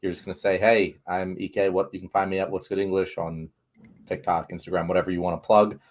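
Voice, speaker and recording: Low-voiced laid-back podcast host man. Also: fast talker. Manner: relaxed, loose, with fillers and restarts. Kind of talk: casual political podcast chat.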